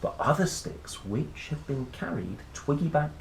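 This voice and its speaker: A man reading a children's picture book aloud in a playful, expressive manner, with chatty asides. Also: medium pitch, gravelly. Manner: playful, expressive, dramatic pauses and exclamations. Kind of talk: animated storybook read-aloud with chatty asides. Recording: roomy echo, faint background noise.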